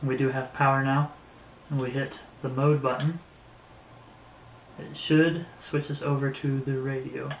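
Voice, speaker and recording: A man giving a casual, unscripted product demonstration, thinking aloud and haltingly as he handles things. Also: medium pitch, flat delivery, vocal fry. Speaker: product reviewer. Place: indoor room. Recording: echoey room, faint background noise.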